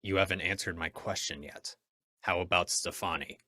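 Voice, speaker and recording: Man acting a deep-voiced, menacing masked vigilante. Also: fairly even intonation, moderate pace, pushed and bright, no room sound, clean studio sound, full character voice. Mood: cool, probing. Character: masked male vigilante, cool, menacing, self-possessed. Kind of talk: old-time radio drama acting.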